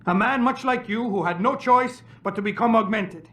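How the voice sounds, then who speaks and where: An indignant male doctor and activist in a dramatic video game. His voice is notably high for a man. He speaks with formal, articulate, pointed earnestness. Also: normal speed, a bit bright. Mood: grave.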